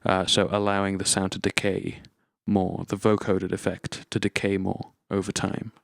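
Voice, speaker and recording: A man's voice: low-pitched, completely flat delivery, normal speed, gravelly, no echo. A man presenting an instructional gear demonstration, explaining methodically, step by step. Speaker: tutorial presenter.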